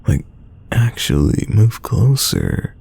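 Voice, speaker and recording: Guy playing smitten teasing friend, super gravelly and mellow, soft flirty delivery, close dry sound. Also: wildly swooping pitch, slow pace, no echo, exaggerated voice. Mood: playful teasing. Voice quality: very deep, gravelly voice. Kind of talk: romantic roleplay audio, intimate one-sided dialogue.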